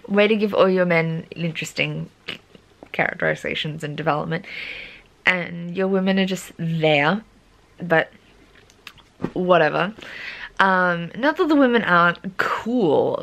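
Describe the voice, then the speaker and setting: A woman in her twenties, a book vlogger, chatting casually at home with plenty of ums and asides, her voice gone croaky. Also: on the low side, fairly bright tone. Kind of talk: casual chat.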